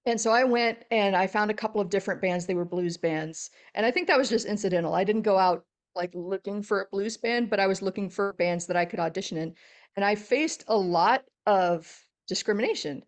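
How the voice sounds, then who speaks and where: A middle-aged woman from Texas with a deep voice, a podcast guest chatting casually and telling animated stories. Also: fairly quick. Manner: animated storyteller, laughs often. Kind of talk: casual interview chat.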